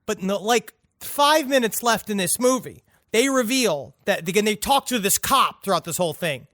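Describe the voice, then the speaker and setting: A man's voice: sits high, lively intonation, moderate pace, fairly bright tone, no room sound, studio quality. A man co-hosting a podcast, recapping a film in a crude, blunt, casual way. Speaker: podcast co-host. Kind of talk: casual comedic chat.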